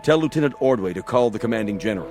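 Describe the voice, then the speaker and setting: Sardonic, dismissive colonel played by a man, lower register, curt commanding radio drama delivery. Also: lively intonation, rapid-fire, darkish tone, slight background noise, close to an everyday voice. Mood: mock-serious. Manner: curt, dry sarcasm, clipped orders.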